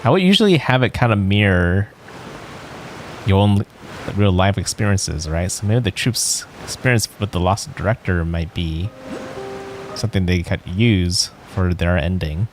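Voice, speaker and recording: A man with a low voice gives casual commentary as he streams a game. He muses aloud in hesitant, broken phrases while soft music plays behind him. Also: lively intonation. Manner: hesitant, musing, halting. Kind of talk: casual let's play commentary.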